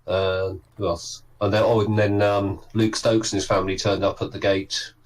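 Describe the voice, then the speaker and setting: A hoarse man, a guest on a live audio show, chatting in an easygoing way and recounting things at length. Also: on the low side, little pitch movement, normal speed. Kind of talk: casual conversation.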